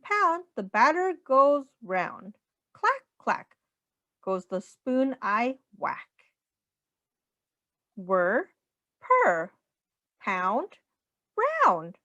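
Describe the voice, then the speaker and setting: Lady teacher reading a kids book, super slow and sing-songy, voice goes way up and down. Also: on the high side, bright, forceful tone, no room sound, lo-fi sound. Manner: sing-song, simple questions and explanations for young children. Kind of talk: children's read-aloud.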